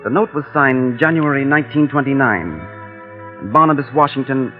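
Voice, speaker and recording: An actor narrating and playing a trapped traveler who grows desperate, earnest and pleading, in 1940s radio acting. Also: male voice, mid-range voice, moderate pace, natural voice. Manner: earnest, pleading, rising to demands. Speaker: narrator and lead. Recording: dull old radio broadcast with music.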